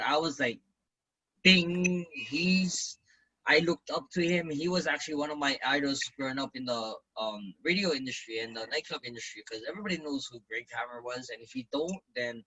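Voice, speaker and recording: A man in his forties tells his story in a relaxed interview with a bright, husky voice. He talks like the event emcee he is and throws himself into quoting others. His voice jumps up loud whenever he quotes someone. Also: medium pitch, poor audio. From Hawaii. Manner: animated, acts out quoted lines in a raised voice. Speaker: business owner, event emcee.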